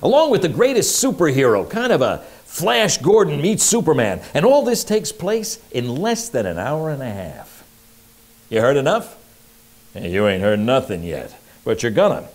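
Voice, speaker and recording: An actor playing a slick, wisecracking 1940s radio private eye, pitching in brash, slangy tough-guy patter. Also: darkish tone. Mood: brash, teasing. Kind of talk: hard-boiled promo narration in old-time radio style. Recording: music under the voice. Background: music.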